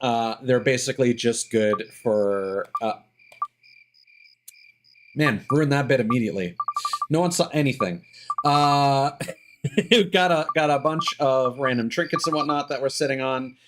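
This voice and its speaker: A man giving casual live gameplay commentary, explaining details in a halting way and thinking aloud with asides. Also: mid-range voice, noisy background. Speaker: game streamer.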